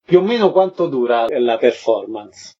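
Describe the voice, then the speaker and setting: A man's voice: mid-range voice, very animated, moderate pace, husky. A man putting interview questions as the host of a radio programme. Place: radio broadcast. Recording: slightly dull, lo-fi radio audio.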